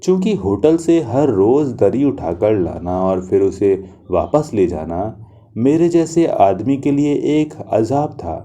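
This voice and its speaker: A man narrating a literary short story in the first person, reading in a measured, reflective manner. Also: lowish voice, dark tone.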